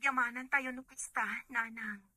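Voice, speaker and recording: A woman's voice: a little high, steady tone, moderate pace, sharp tone, dry recording. A woman playing a worried, devoted young mother working abroad in an audio drama, earnest, with an Ilocano accent. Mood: worried, affectionate. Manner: earnest, concerned.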